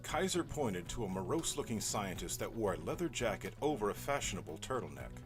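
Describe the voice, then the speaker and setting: Animated male storyteller over music. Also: on the low side, fairly quick. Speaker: narrator. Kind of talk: dramatic scripted narration.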